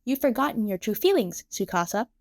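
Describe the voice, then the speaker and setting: A woman voicing a sweet, encouraging young female singer with a gentle, coaxing manner in a story reading. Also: on the high side, big pitch swings, a little fast. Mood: gently firm.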